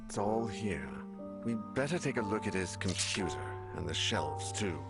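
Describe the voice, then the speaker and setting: An actor playing a weary, troubled man investigating a horror mystery in a video game, speaking gravely. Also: fairly low pitch, expressive, normal speed. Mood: focused. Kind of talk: dramatic video game narration and dialogue. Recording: loud music behind the voice.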